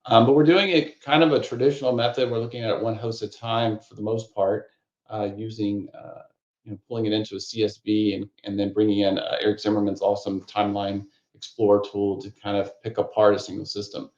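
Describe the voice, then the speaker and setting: A man, the course author, walking through a technical course in a relaxed, rambling way with lots of fillers and restarts, his voice a little raspy. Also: lowish voice, brisk, muffled recording. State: slightly raspy voice, needs a cough drop. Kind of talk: conversational technical course walkthrough.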